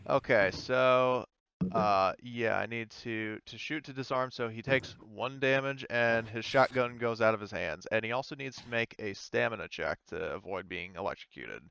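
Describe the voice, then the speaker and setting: A man's voice: mid-range voice, moderate pace, vocal fry, a bit bright, clear, resonant tone, no echo, highs cut off. A man acting as game master of a tabletop roleplaying game, casually thinking aloud as he talks through rules and turns.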